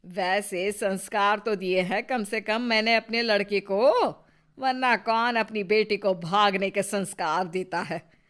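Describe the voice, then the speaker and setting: A woman's voice: mid-range voice, fairly even intonation, measured pace, a bit bright, lightly acted. A woman voicing a scornful, suspicious older mother-in-law in a cartoon story, with a taunting, scolding manner. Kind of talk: cartoon voice acting.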